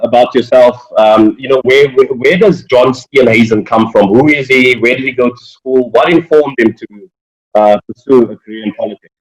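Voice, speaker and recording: A man's voice: mid-range voice, normal speed, poor audio. Casual male podcast co-host. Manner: casual, repeats words while asking.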